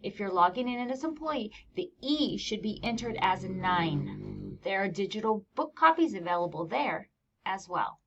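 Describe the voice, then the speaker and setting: A woman's voice: medium pitch, sing-song, moderate pace, vocal fry, noisy background. A woman with an American accent presents scripted instructional narration as a teacher trainer, explaining things plainly with informal touches. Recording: dull lo-fi voice-over recording.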